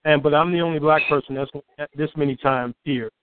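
Booming outspoken man from Chicago. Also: brisk, background noise. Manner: outspoken, repeats words when excited. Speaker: guest poet and storyteller. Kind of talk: animated storytelling in an interview. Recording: tinny phone-like line, dry.

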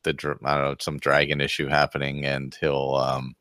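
A man's voice: a bass voice, monotone, normal speed, a bit bright, no echo, studio quality. A man on a podcast panel, chatting casually about a video game.

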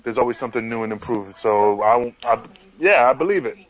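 A man's voice: mid-range voice, expressive, brisk, low-quality recording. A man, a guest joking and chatting over a video call. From New York.

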